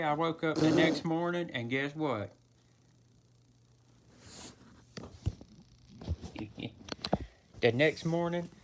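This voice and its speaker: Man acting comic old male storyteller, folksy, rambling, repetitive, flustered, clearly noisy clicking recording. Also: mid-range voice, close to an everyday voice. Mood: folksy storytelling. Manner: rambling, halting, folksy, repeats himself. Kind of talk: comic tall-tale storytelling.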